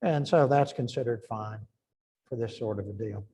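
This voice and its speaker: A man's voice: mid-range voice, moderate pace, mellow, breathy edge. A middle-aged man giving an informal engineering lecture, thinking aloud and restarting phrases as he works through numbers.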